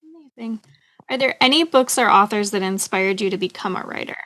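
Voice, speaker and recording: A woman's voice: medium pitch, a little fast, sharp tone. A woman hosting an author interview, asking questions in a conversational way. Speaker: interview host.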